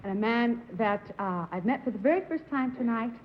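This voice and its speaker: A woman's voice: on the high side, lively intonation, brisk. A young woman introducing a fellow panelist on a television panel show. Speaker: guest panelist. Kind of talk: warm on-air introduction. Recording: dull 1960s television audio with hiss.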